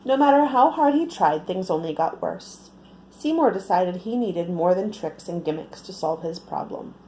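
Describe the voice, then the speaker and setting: A woman reading a picture book aloud to young children, expressive and often putting questions to her listeners. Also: fairly low pitch, moderate pace, a little hiss or hum, low-quality recording. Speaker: children's storyteller.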